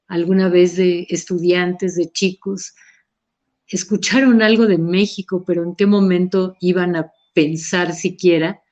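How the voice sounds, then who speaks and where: Slow, dark-voiced Mexican woman. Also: on the low side. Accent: Mexican Spanish. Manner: unhurried, thoughtful, frequent restarts and hesitations. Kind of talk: personal reflective talk. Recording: slightly muffled lo-fi recording.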